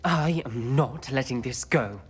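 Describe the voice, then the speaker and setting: A man's voice: mid-range voice, unhurried, close to an everyday voice. Guy doing a serious worried teen wizard boy in a game cutscene, precise and reproachful, bit of music under it. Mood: angry, accusing. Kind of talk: video game cutscene acting.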